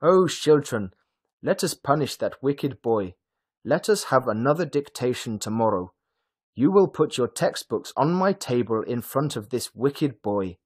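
A man's voice: mid-range voice, sing-song, unhurried, darkish tone, breathy edge, voice pushed up. A man voicing a kind-hearted but fussy woman schoolteacher in a storybook, addressing a class emphatically. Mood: indignant. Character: gullible, kind-hearted German woman schoolteacher, fussily indignant.

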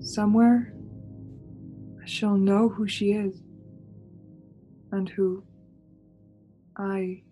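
A woman's voice: mid-range voice, level tone, very slow, pauses often, mellow. An actress playing a haunted young woman traveller in a suspense radio play, with a theatrical, brooding and fraught manner. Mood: bleak, brooding. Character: Ronnie Adams, haunted young woman traveller narrating her ordeal. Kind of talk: suspense radio play acting, dramatic monologue. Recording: music bed under home-recorded radio play.

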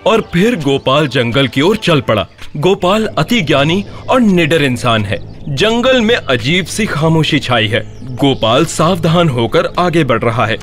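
A man narrating a scripted animated horror tale as a storyteller. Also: fairly high pitch, unhurried, faint music behind.

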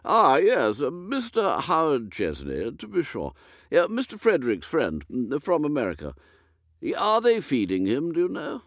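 A man's voice: a little high, wildly swooping pitch, normal speed, a bit bright, no echo, clean studio sound, pitched above the performer's own voice, exaggerated voice. A man voicing a vague, bumbling elderly British earl who hesitates and repeats himself, in an audiobook. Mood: vaguely curious. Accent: British English.

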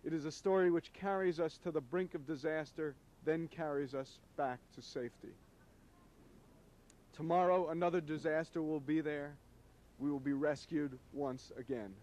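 A middle-aged man delivering scripted commentary to camera on a city street, speaking in solemn, measured, emphatic phrases into a handheld microphone. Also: fairly high pitch, fairly even intonation, darkish tone. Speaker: host, media critic. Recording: noisy old videotape street recording.

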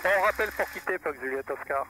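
A man's voice: a little high, lively intonation, quick pace, over music, poor audio. A middle-aged man piloting a small plane, making hesitant radio calls and chatting over an aviation headset. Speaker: private pilot on the radio and cockpit intercom. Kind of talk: cockpit chat and radio calls. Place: small propeller plane cabin in flight. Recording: loud engine noise, cockpit headset audio.

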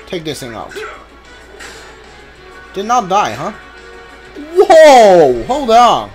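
Slow, swingy-pitched man commenting on a game. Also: wildly swooping pitch. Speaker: video game streamer. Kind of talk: casual playthrough commentary. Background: game music.

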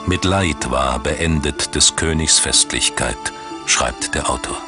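Very deep-voiced male documentary narrator. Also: slow. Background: background music.

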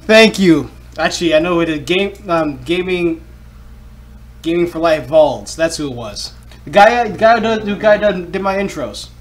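A young man hosts a reaction video with casual chat. His voice sits a little high, picked up at a short distance in a small bedroom. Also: lively intonation, normal speed.

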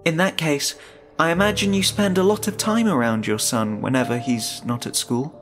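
Man voicing an earnest female school psychologist, raised pitch. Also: fairly even intonation, moderate pace, fairly bright tone, faint music behind, lightly acted. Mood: questioning. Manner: formal, questioning.

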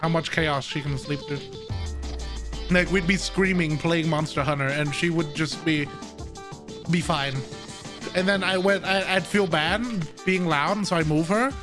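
High-pitched playful man streaming games. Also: unhurried. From Vietnamese. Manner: playful, joking, laughs often. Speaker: game streamer. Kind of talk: casual livestream chat while gaming. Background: game music.

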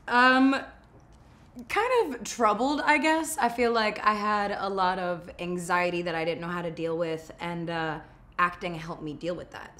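A young woman whose first language is Polish, raised in New York, chatting casually as an interview guest on a sofa in a small room, candid and quick to laugh. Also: lowish voice, a bit bright. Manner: laughing, playful, candid storytelling. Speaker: guest actor.

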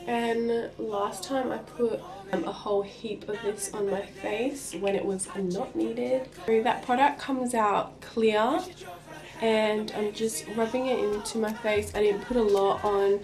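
A young woman talks slowly and casually, trailing off mid-sentence as she reviews makeup. Her voice is a little stuffy from a cold, recorded by a camera mic in a small indoor room. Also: medium pitch, fairly even intonation, over music. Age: young adult. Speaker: beauty vlogger.

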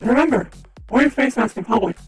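A man streaming a game live who chats casually and upbeat to his viewers, with a slightly raspy voice. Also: extremely high pitch, expressive, quick pace, music in the background. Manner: chatty, upbeat, talks to chat.

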